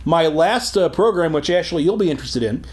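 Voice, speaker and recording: Loud sarcastic male co-host. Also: on the high side, a little fast. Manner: loud, sarcastic, deadpan jokes. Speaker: co-host, political commentator. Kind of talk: casual chat. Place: recording studio.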